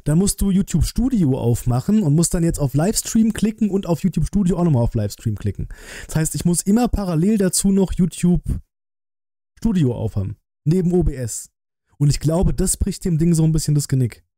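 Very dark-voiced man chatting casually. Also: fairly high pitch, lively intonation, fairly quick, dry recording, clean studio sound. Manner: colloquial, blunt, thinking aloud. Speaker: livestream host. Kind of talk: casual livestream chat and trailer reaction. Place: small decorated streaming room indoors. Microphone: close desk microphone, headset worn.

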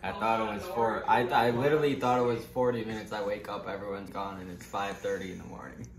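A man's voice: fairly low pitch, steady tone, quick pace, echoey room, distant mic, over a noisy crowd. Sleepy young man mumbling off-mic.

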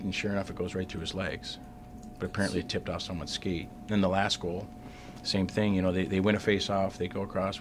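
A middle-aged man, a hockey coach, answering reporters at a postgame press conference, halting and repeating words as he restarts sentences. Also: deep voice, a bit bright, a little hiss or hum. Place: press room in front of a sponsor backdrop. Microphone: desk mic on a stand.

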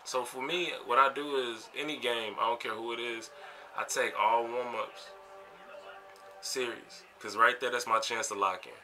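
A young American man in his twenties talks to a camera in his bedroom. His voice sits at a middling pitch, and his delivery is slangy and emphatic, like casual conversation. Music plays clearly behind him. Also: steady tone, moderate pace. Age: 20s. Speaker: vlogger and college basketball player. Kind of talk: casual vlog reflection. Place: small bedroom, indoors.

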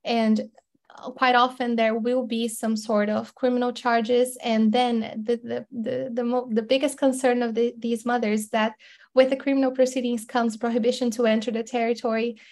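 A woman speaks over a laptop video call, explaining legal matters thoughtfully. Her tone sits a touch high but stays notably flat, with little rise and fall. She often restarts phrases and pauses on fillers as she works through her points. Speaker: webinar panelist, lawyer. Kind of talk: expert webinar talk. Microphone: laptop mic on video call.

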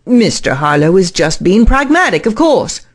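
A woman's voice: mid-range voice, very animated, moderate pace. A woman acting an earnest, eager-to-please scientist in an audio drama. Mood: eager, diplomatic.

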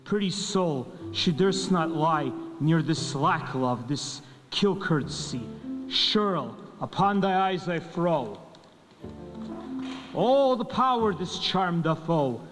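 A man's voice: a little high, lively intonation, takes it slowly, a bit bright. A man in his forties giving a dramatic verse reading on a stage. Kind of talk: dramatic reading of Shakespeare from paper. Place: indoor stage before an audience. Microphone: stand microphone. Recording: long hall reverb, faint music.

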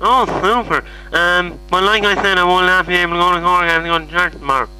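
A man's voice: sits high, fairly even intonation, fast, sharp tone, clear, resonant tone. A man with an Irish accent voicing a confused, apologetic, hard-of-hearing middle-aged caller, hesitant and repeating himself in a prank phone call. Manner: muddled, hesitant, repetitive. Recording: humming phone call recording.

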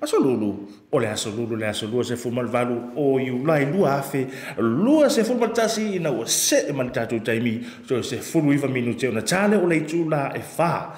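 A man's voice: medium pitch, fast. A man in his thirties with a Samoan accent, hosting a casual health update podcast and switching between English and Samoan. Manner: animated, switches between English and Samoan, acts out quoted voices. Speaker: podcast host. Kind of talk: casual health update chat, laughing often. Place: office room with glass wall. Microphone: earpiece headset mic. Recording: echoey room.

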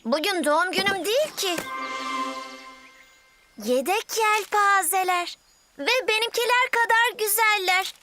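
A woman's voice: very high-pitched, unhurried, pauses often, pushed and bright, heavily acted. A woman dubbing a cheerful young female cartoon hero who sounds bubbly and proud. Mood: cheery. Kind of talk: Turkish cartoon dub. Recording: music behind.

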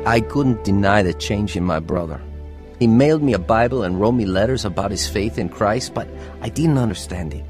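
A man's voice: on the low side, animated, normal speed, music in the background, close to an everyday voice. A man playing a cocky, skeptical young boxer in a radio drama. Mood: reflective. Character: cocky, skeptical young male boxer turned aviation worker. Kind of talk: radio drama acting with first-person narration.

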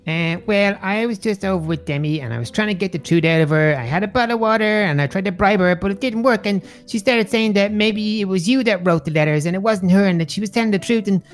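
A woman's voice: mid-range voice, quick pace, few pauses, soft background music, lightly acted. A woman playing a bossy, anxious young son who speaks urgently and repeats phrases in an amateur role-play story.

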